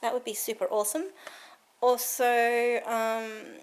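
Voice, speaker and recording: A woman's voice: on the high side, little pitch movement, slow pace. A woman in her thirties chatting to a handheld camera at home in a rambling, giggly way. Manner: rambling and giggly, many fillers. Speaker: vlogger. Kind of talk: casual chat to camera. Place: cold room at home. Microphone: handheld camera carried around the room.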